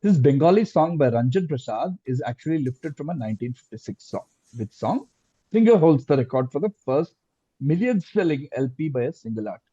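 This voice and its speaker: Male quiz host, mellow husky voice, deliberate question reading, close dry sound with dull lo-fi call audio. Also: fairly high pitch, sing-song, dry recording. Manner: deliberate, repeats answers and phrases. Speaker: quizmaster.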